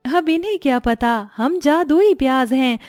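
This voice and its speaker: A kindly little magic onion from a cartoon fairy tale, acted out by a woman. It confides its secrets in a high, mellow voice, with quiet music behind it. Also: expressive, measured pace, no echo, clean studio sound. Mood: secretive. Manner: hushed, conspiratorial. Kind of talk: cartoon story voice acting.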